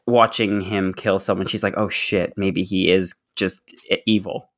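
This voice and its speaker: A man's voice: low-pitched, fairly even intonation, unhurried, a bit bright. A man co-hosting a podcast, talking casually through a recap. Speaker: podcast co-host.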